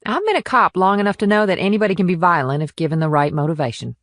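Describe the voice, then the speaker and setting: A no-nonsense female small-town cop, played by a woman, gives guarded, blunt answers in a lowish voice. It is audiobook dialogue with a dry, close sound. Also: expressive, quick pace, no echo, natural voice.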